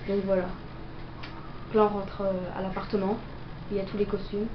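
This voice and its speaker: A woman's voice: mid-range voice, level tone, moderate pace. Young woman w/ a cold rambling over gameplay, lots of ums, roomy dull lo-fi audio, noisy. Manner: hesitant, many fillers. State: has a cold, coughing. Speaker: gameplay video maker. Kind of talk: improvised gameplay commentary.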